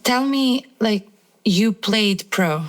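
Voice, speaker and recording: A woman's voice: medium pitch, animated, slow. A woman hosting a casual interview, upbeat and chatty, asking questions with lots of fillers. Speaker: host.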